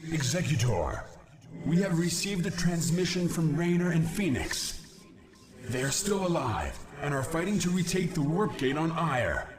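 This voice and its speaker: An actor playing an earnest, dutiful young alien commander in a video game, declaiming formally. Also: male voice, on the high side, big pitch swings, measured pace, over music. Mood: concerned.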